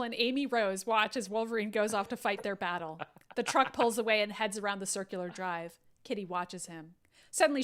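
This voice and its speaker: A woman's voice: medium pitch, a bit bright. A woman host reading screenplay stage directions aloud, with casual asides. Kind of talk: script narration with casual asides.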